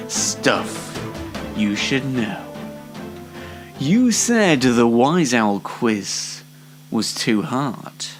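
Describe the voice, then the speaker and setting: A man's voice: mid-range voice, big pitch swings, very slow, long pauses, music in the background. A man hosting an online variety show in a chatty, playfully theatrical, mock-dramatic way. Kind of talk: chatty scripted-style presenting with ad-libs.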